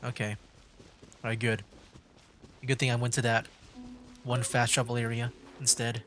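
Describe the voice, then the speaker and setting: A man's voice: medium pitch, flat delivery, moderate pace, a bit bright. Laid-back male game streamer, music behind. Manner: laid-back, rambling, thinking aloud. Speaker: livestreamer playing a video game. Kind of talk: casual gameplay commentary.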